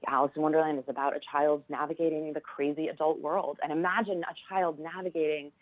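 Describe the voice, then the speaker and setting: A woman's voice: deep voice, flat delivery, quick pace, no room sound, narrow, tinny audio. An American woman speaking earnestly and reflectively as a guest in a radio interview, over a band-limited line. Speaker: theater professor, interview guest.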